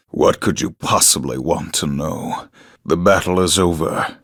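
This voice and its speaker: A male actor voicing a weary, defeated war survivor, gruff and resigned, in a video game cutscene. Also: low-pitched, takes it slowly, fairly bright tone, dry recording, clean studio sound, full character voice. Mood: bitter.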